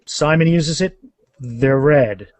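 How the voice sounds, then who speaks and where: High-pitched man, halting and emphatic. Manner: halting, repeats words, emphatic. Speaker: guest author discussing his books. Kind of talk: casual animated interview chat.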